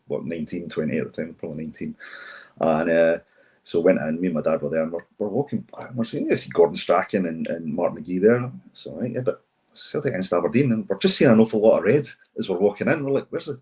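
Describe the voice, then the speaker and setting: Scottish male podcast co-host, 40s, low husky voice, hoarse from a cold, quick chatty rambling delivery. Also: warm tone. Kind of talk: casual chat.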